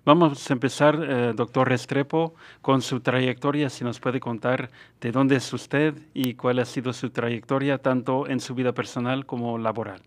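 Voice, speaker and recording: A man in his forties hosts an interview on a studio microphone. He reads a prepared introduction and asks his questions at a steady, even pace. He answers his guest with short, encouraging sounds. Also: mid-range voice, normal speed, hardly any pauses. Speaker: interview host. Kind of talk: scripted intro then interviewing. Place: small studio with dark curtain. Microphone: studio mic on boom arm.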